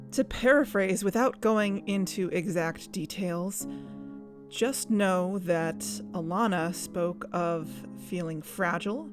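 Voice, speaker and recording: An actress playing an earnest, uneasy young woman investigator, narrating her own story in a measured, confessional way for an audio drama. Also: lowish voice. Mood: reflective, guarded. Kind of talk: scripted first-person audio drama narration.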